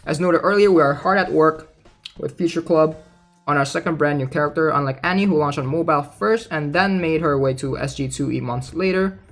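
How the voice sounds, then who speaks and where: A man's voice: fairly high pitch, brisk. A man giving casual commentary as he reads out game news, informal and thinking aloud. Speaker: gaming content creator. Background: background music.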